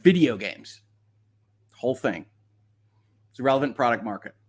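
A man's voice: medium pitch, animated, moderate pace, slightly rough. A man hosting a livestream of legal commentary, talking casually and reading aloud with wry, sardonic asides.

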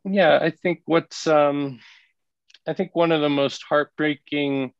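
Flat-voiced man with dull dry sound. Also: fairly high pitch, smooth, no room sound. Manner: thoughtful, halting with many fillers. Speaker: leadership consultant guest. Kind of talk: reflective interview talk.

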